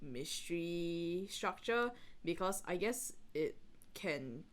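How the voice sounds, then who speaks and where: A lowish-voiced woman co-hosts a casual reading podcast. Her delivery is rambling and giggly, and her pitch moves around in a very lively way. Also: slow. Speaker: podcast host. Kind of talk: casual reading commentary with a co-host.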